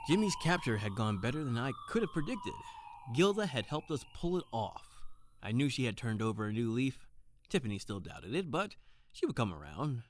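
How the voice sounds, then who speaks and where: An actor playing an earnest, trusting, soft-hearted detective in a noir-style audio drama, a little hesitant. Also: male voice, mid-range voice, very animated, normal speed, slight background noise, lightly acted. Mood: reflective narrating. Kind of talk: scripted noir-style audio drama with first-person narration.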